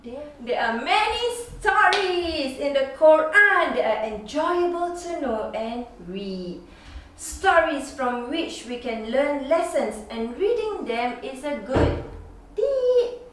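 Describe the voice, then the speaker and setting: A mother with a Singaporean accent reading a children's picture book aloud, expressive and sing-song, with gasps. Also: high voice, slow, slight background noise. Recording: echoey room, mic at a distance.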